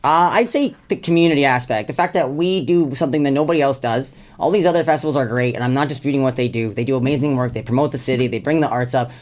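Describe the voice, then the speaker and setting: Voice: mid-range voice, quick pace, few pauses, darkish tone. A music artist from Canada with a Canadian accent, chatting casually in an interview in an earnest, talkative way. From Hamilton, Canada. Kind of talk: casual chat.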